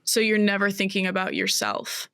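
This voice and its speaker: A woman in her twenties, a guest in an interview, talking in a thoughtful, searching way with many pauses and restarts. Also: mid-range voice, sing-song, gravelly, a bit bright, clean tone, no room sound. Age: 20s. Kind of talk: reflective interview conversation.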